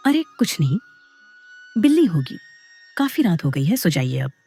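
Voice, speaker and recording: A woman's voice: on the low side, very animated, normal speed, dark tone, husky, faint music behind, heavily acted. A woman playing a sleepy, unbothered village farmer's wife in a dramatized moral story. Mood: dismissive.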